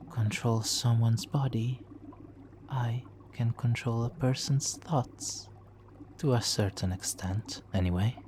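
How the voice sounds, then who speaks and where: Man voicing a slow, smug, flirtatious supervillain. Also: mid-range voice. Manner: teasing, mock-polite, savoring pauses, chuckling often. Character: smug, flirtatious male supervillain toying with a captured hero. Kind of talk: ASMR roleplay, slow intimate monologue. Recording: faint background noise.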